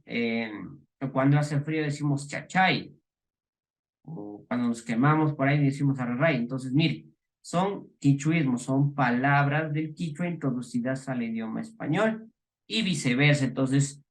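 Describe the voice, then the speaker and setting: A middle-aged man from the Ecuadorian highlands with that accent, giving a class lecture with many fillers, restarts and rhetorical questions. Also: a little slow. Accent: Ecuadorian highland Spanish. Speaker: teacher.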